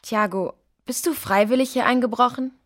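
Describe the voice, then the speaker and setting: A woman playing a calm, reassuring girl in a children's audio drama, with a gentle manner. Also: a little high, moderate pace, lightly acted. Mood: probing.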